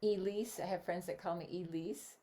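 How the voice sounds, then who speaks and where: Older lady guest, native german speaker, slightly low voice, talks in long rambling asides. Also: steady tone, moderate pace, warm tone. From Switzerland. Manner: chatty, rambling, full of asides. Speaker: guest songleader and teacher. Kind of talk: casual video chat interview.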